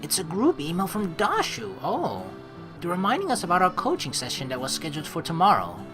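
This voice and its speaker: A woman's voice: on the low side, wildly swooping pitch, fairly quick, music in the background. A woman let's play streamer reading game text aloud and adding chatty commentary.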